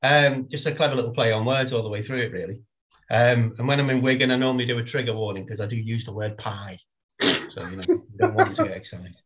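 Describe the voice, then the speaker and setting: A man's voice: mid-range voice, very animated, fast talker, lo-fi sound, background noise. A man, a poet guest who chats wryly and reads a comic poem with playful timing. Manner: wry, self-deprecating, reads verse with playful timing. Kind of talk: casual chat and poetry reading.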